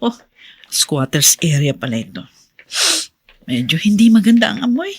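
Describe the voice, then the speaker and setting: An actress playing a determined woman who thinks aloud in a radio drama, with a husky voice. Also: fairly low pitch, sing-song, moderate pace, no room sound, heavily acted. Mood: searching, relieved.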